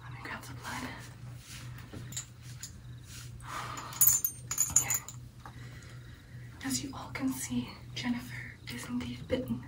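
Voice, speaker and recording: Whispered, breathy, young woman acting as tender powerful female vampire, intimate ASMR roleplay, reassuring, shifting distance from the mic. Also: a little high, completely flat delivery, lightly acted. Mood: calm, commanding. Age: young adult. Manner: soft, intimate, reassuring, deliberate. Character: tender, powerful female vampire girlfriend addressing her coven.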